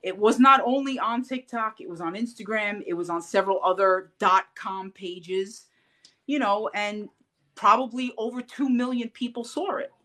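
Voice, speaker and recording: A woman in a relaxed interview tells her story with real animation. She slips into acted-out quotes and laughs often, as though chatting with a friend. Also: medium pitch, normal speed. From Puerto Rican heritage. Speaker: podcast guest. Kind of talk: casual interview chat.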